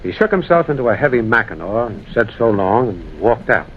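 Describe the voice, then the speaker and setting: An actor playing a grave man recounting a ghostly encounter, tense, deliberate and dramatic, in an old-time radio drama. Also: on the low side, sing-song. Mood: grave, suspenseful. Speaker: narrator and protagonist. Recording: muffled, hissy old radio recording.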